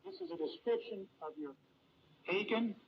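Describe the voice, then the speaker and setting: A man's voice: sits high, lively intonation, normal speed, soft background music. A man preaching earnestly on a religious shortwave broadcast that sounds muffled. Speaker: radio preacher.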